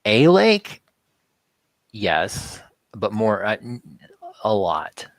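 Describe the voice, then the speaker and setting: Male talk show guest, low register, dry sound, halting conversational delivery with repeats. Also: wildly swooping pitch, dry recording. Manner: halting, repeats words and restarts sentences. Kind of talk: casual rambling chat.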